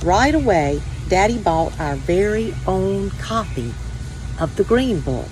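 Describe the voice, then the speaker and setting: A woman's voice: medium pitch, big pitch swings, slow, background noise. A woman reading a children's storybook aloud as a first-person narration. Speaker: story time reader.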